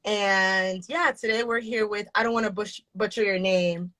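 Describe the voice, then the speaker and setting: A woman's voice: mid-range voice, normal speed. Woman hosting a chill video call podcast, friendly, lots of um and uh. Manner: friendly, relaxed, fillers like um and uh. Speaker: podcast host. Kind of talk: casual video-call interview.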